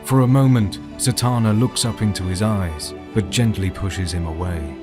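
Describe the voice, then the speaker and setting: A man's voice: lowish voice, moderate pace, over music, natural voice. A man giving scripted narration for an audio drama as its narrator.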